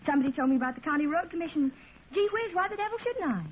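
An actress playing a scatterbrained, innocent wife with a meandering, literal-minded manner and a tart streak, in a 1950s radio comedy. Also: female voice, sits high, lively intonation, very fast. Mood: defensive. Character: scatterbrained, placid wife who turns tartly huffy. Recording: tinny, faintly noisy old radio recording.

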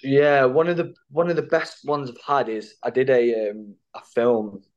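Male actor guest, animated anecdotes, lots of "like", sweary. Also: medium pitch, a little slow, dull audio. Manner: animated, filler-heavy, swears. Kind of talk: casual storytelling chat.

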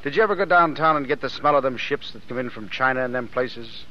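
An actor playing a restless young tough drifter with clipped, slangy American talk, in an old-time radio drama. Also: male voice, medium pitch, fast, close to an everyday voice. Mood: wistful. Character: restless tough young drifter and reluctant thief, gruff but soft underneath. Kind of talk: 1930s-40s American radio drama acting. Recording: muffled, noisy old radio recording.

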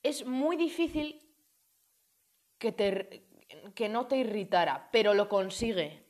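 A woman chatting through a casual film review, addressing viewers with many fillers and restarts. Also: medium pitch.

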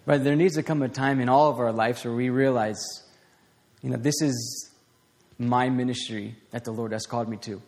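A man giving an informal, conversational sermon to a small group, with a voice turning raspy and plenty of restarts and fillers. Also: mid-range voice, fairly even intonation, normal speed, a little mellow. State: voice getting raspy. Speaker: preacher. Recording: long hall reverb.

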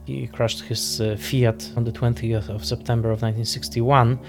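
A man giving reflective interview commentary on film, thoughtful and halting as he searches for words. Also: medium pitch, flat delivery, over music.

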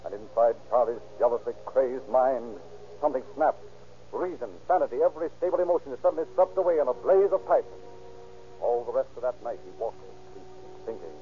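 A man's voice: mid-range voice, animated, fairly quick. A man narrating a radio drama in a solemn, theatrical, old-time style. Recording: tinny old radio recording with music.

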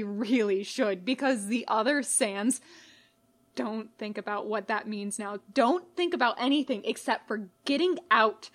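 A woman narrating scripted fiction in a measured, dramatic way with pauses. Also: a little high. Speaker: podfic reader.

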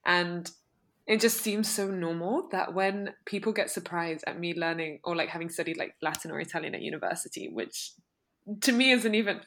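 Young woman, podcast co-host, low-ish voice, reflective casual talk. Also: moderate pace, fairly bright tone. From United Kingdom. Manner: reflective, filler-heavy with many likes.

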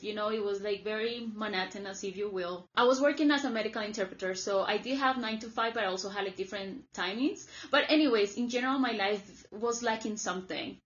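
Chatty Colombian-born woman, higher voice. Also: muffled recording. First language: Spanish. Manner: chatty, many "like" fillers. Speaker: guest, former volunteer. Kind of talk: casual interview storytelling.

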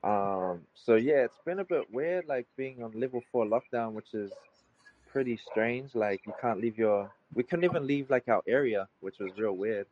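A man's voice: mid-range voice, expressive, normal speed, lo-fi sound. A man with a New Zealand accent, a guest musician chatting casually and laid-back in an interview, with lots of fillers and restarts. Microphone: remote call from far away.

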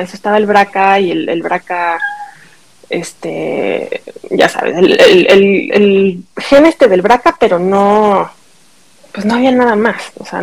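A woman's voice: mid-range voice, gravelly, poor audio. A middle-aged Mexican woman, a podcast guest telling a personal story in casual conversation, acting out what people said.

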